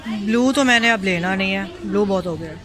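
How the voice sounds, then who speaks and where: A woman's voice: medium pitch, brisk, a crowd in the background. A young woman chatting casually to her viewers in a vlog, often slipping English words into her talk. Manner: chatty, mixes English into Urdu, keeps addressing viewers. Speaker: vlogger. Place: shopping mall, then home kitchen. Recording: noisy handheld vlog recording.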